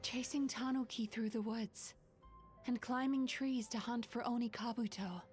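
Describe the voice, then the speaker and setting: A woman voicing a calm, knowing young dream healer who explains things in a measured way in a video game. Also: on the high side, faint music behind, lightly acted. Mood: gentle.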